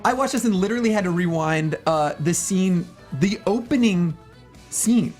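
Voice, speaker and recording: A man's voice: sits high, animated, moderate pace, darkish tone. Male panel co-host, sarcastic, incredulous, conversational, faint music bed. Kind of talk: casual movie review chat.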